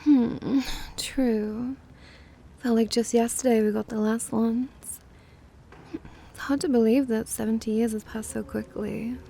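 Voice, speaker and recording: A languid, teasing vampire mistress with a youthful air, performed by a woman for an ASMR roleplay. Her voice is fairly high yet mellow, with plenty of creak in a condescending drawl. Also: steady tone, slow, slight background noise. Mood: wry, reminiscing.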